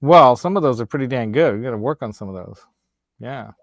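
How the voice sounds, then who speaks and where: Man, slight Hungarian accent, Hungarian native speaker, rambling casual host, laughs a lot, medium pitch. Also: animated, a little fast, no room sound, clean studio sound. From United States. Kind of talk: casual chat.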